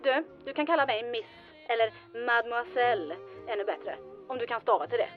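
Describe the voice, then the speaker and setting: An actress playing a sassy, quick-witted town hall secretary in a radio drama, teasing and sardonic, in slightly band-limited sound. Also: female voice, on the high side, animated, phone-quality sound, faint music behind, close to an everyday voice.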